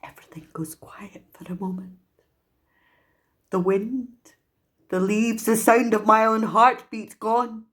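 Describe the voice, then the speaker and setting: A woman with a Scottish accent performing a dramatic, emotive solo stage monologue as a traumatised young woman raised in an orphanage, pausing deliberately. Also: a little high, steady tone. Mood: hushed dread.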